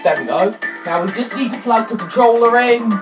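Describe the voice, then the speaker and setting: A teenage girl whose first language is French, chatting excitably and rambling while playing a video game, with sudden shouts. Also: a little high, a little fast. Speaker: let's play gamer. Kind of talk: casual game commentary. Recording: loud game music under voice.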